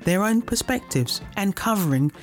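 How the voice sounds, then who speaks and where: A woman's voice: mid-range voice, animated. Woman reading a formal program intro. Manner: measured and formal. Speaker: announcer. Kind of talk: scripted intro narration. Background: faint guitar music.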